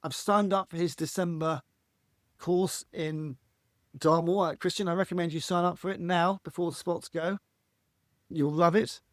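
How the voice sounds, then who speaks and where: Husky man, fairly high voice, earnest and rambling, restarts phrases, dry close recording. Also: fairly even intonation, normal speed, no echo. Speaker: podcast guest. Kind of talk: reflective conversational interview.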